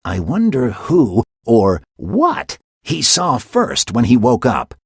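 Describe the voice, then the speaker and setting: A man's voice: medium pitch, huge pitch swings, slow pace, slight background noise, pitched above the performer's own voice, heavily acted. Puck, a man doing a giggly mischievous sprite. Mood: amused. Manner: chatty asides with giggles.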